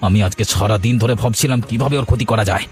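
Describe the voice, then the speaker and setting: Rapid-fire cowardly village crook, acted by a man. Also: mid-range voice, darkish tone, soft background music, natural voice. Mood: vengeful. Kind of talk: Bengali cartoon voice acting.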